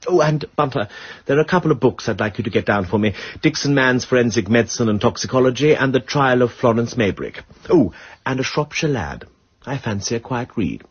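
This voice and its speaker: An actor playing a witty upper-class English lord detective in a radio drama, with flippant, courteous, old-fashioned speech. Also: male voice, medium pitch, animated, a little fast, slightly rough. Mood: brisk, composed. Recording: dull, muffled radio recording.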